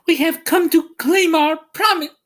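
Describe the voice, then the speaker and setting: An earnest little girl from a storybook, plaintive and insistent, as a male narrator puts her on. The voice leaps far up into a high, girlish register. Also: steady tone, a little slow, fairly bright tone.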